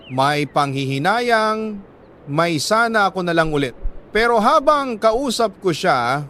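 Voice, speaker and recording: A Filipino man reads a listener's letter as a radio storyteller, narrating in the first person with measured, emotive phrasing and deliberate pauses. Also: fairly high pitch, sharp tone, slight background noise.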